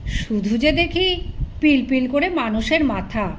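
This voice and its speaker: A woman's voice: sits high, lively intonation, unhurried. A woman voicing a meek, bewildered male court musician in an audiobook, speaking with hesitant, simple wonder. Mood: puzzled. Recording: noticeable background noise.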